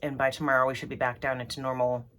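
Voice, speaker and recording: Low-voiced, froggy woman rambling. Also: level tone, fast talker, fairly bright tone, slight background noise. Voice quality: throaty, froggy. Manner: rambly, many ums and restarts. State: congested from allergies. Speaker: vlogger. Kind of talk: rambling casual vlog.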